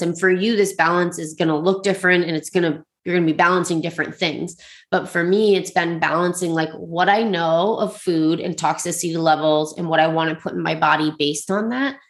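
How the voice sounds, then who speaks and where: A woman's voice: fairly low pitch, creaky. A woman with a slightly congested voice, talking casually and reflectively in a personal, confessional solo style with lots of "like". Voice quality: slightly congested, clogged-up nose. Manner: informal, reflective, frequent "like". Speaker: host sharing personal advice and resources.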